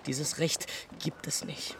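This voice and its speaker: A man's voice: slow pace, fairly bright tone, a little hiss or hum. A man playing a cold, exasperated young ex-lover in a radio drama, with a curt and defensive delivery. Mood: cold, firm.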